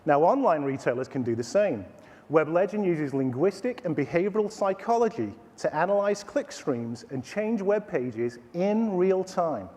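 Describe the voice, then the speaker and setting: A man in his forties with a Texan accent, pitching a product from a conference stage with a lively, sing-song voice that rises and falls a lot. He sounds a little high for a man, and his voice rings out through a large hall with a long echo. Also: moderate pace. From Texas, USA. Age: 40s. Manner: animated, showman-like pitch with playful asides. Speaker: company CEO presenting a product. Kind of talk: staged product demo presentation.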